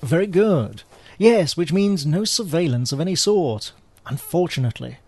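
An actor playing an urbane, wry British spy in a spoof radio drama, with a droll, understated British delivery. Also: male voice, a little high, animated, moderate pace, darkish tone, slightly rough, no room sound. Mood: wry, composed. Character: urbane, wry male British spy, unflappable gentleman agent.

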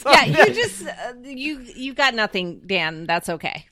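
A woman with a high, lively voice and slow pace chats as a game panelist. She is informal and playfully teasing, swinging widely in pitch as she talks. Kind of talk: casual chat.

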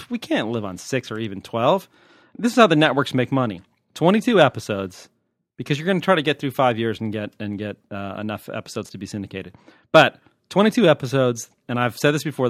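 An American man talks casually as a podcast host, with a wry and joking manner. His voice sits at a middling pitch, recorded close and dry with no room sound. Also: no room sound. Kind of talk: casual, unscripted podcast chat answering listener questions.

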